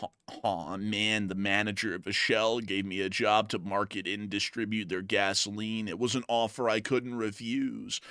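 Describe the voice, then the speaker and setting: A man voicing a gloomy male fly character who talks slowly and sighs "aw, man" in a low, mumbling voice. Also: little pitch movement, bright, forceful tone, no echo, clean studio sound. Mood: mopey. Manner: slow, mumbling, sighing "aw, man". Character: gloomy, mopey male fly character, a gas station worker.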